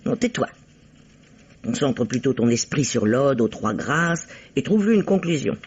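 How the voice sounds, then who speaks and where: An elderly, exasperated but doting spinster in an old-fashioned radio play. The voice is husky and leaps about theatrically as it scolds and chatters primly. The recording is dull and muffled. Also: female voice, rumbling low, big pitch swings, moderate pace, darkish tone, full character voice. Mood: brisk, exasperated. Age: 70s.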